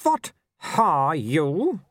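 A man's voice: on the high side, wildly swooping pitch, drawn-out words, fairly bright tone, dry recording, studio quality, pitched above the performer's own voice, full character voice. A man voicing a haughty, menacing female wolf in an audiobook, speaking with icy contempt. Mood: icy disdain. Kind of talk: audiobook character voice.

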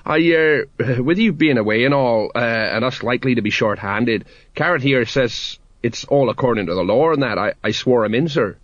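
A man's voice: mid-range voice, normal speed, no room sound, highs cut off, lightly acted. A man voicing an anxious, deferential middle-aged police sergeant who is hesitant and pleading, in a comic audiobook. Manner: hesitant, pleading, urgent, peppered with sir.

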